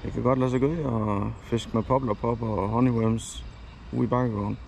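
Man with a gravelly voice, chatty fishing vlog, outdoor noise on an action camera. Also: mid-range voice, fairly even intonation, darkish tone. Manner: chatty, self-correcting, rambling. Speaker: fishing vlogger. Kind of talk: casual fishing vlog narration. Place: outdoors at a lakeside. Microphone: head-mounted action camera.

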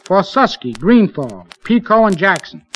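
An actor playing an effusive radio sponsor, declaiming rhymed holiday greetings in a sing-song manner on an old scratchy disc. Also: male voice, sits high, big pitch swings, unhurried, fairly bright tone, heavily acted. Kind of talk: declaimed comic verse, old-time radio.

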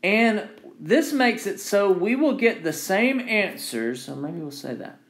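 Man teaching, slightly high-pitched voice. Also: animated, measured pace, a bit bright. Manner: repeats key phrases for emphasis; checks in with "right?" and "all right". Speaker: engineering instructor. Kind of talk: informal lecture with handwritten notes.

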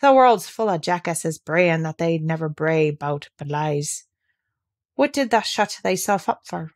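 Storybook role: blunt old Yorkshire gardener, male, dry and crabbed, soft-hearted underneath, played by a woman. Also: deep voice, lively intonation, unhurried. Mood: blunt, indignant.

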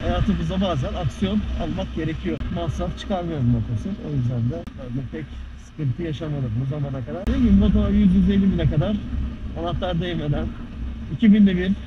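A man chatting casually as he rides a scooter through city traffic, explaining the bike as he goes. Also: high voice. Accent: Istanbul Turkish. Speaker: motovlogger. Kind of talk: casual riding commentary. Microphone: helmet-mounted action camera. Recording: muffled action-camera audio with faint traffic noise.

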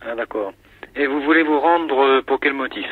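A man's voice: mid-range voice, moderate pace, husky. A man on a telephone line, speaking as a polite, procedural police switchboard officer asking questions. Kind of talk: official phone call, questioning. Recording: narrow, tinny phone line.

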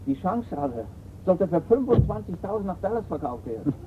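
An actor playing a breezy, chummy crook in a radio crime drama. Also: male voice, sits high, very animated, brisk. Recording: muffled phone-like radio recording, faint noise.

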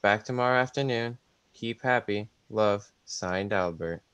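A man's voice: fairly low pitch, measured pace, fairly bright tone, smooth, no echo, lo-fi sound. A man playing a businesslike telegraph clerk in a radio thriller, formal and matter-of-fact. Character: businesslike male telegraph clerk reading a message. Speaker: actor in a student radio play.

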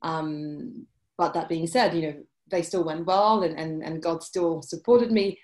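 A woman in her forties with a British accent, answering interview questions over a video call, halting with many restarts. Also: low-pitched, steady tone, a little mellow. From UK and France. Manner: hesitant, many restarts and fillers. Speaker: guest, journalist. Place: indoor room, video call. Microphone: laptop webcam mic.

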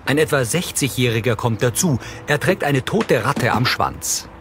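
A man's voice: medium pitch, lively intonation, moderate pace, fairly bright tone, slight background noise. A man reading neutral, scripted audio description for a film. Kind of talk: neutral scripted narration, present tense.

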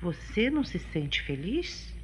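An actress playing a gentle, resolute bride-to-be in a radio soap. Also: female voice, on the low side, very animated, unhurried, a little hiss or hum. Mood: hopeful, sincere. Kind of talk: radio soap opera acting.

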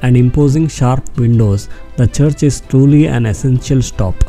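A man's voice: mid-range voice, moderate pace, dark tone. Man reading scripted travel narration over music.

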